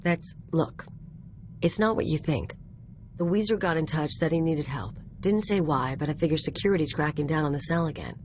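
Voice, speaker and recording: Low-voiced streetwise female mercenary. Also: expressive, normal speed, darkish tone, a little hiss or hum. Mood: guarded. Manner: casual, tough, offhand. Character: streetwise, guarded female ex-anarchist mercenary, cynical and tough. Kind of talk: video game dialogue acting.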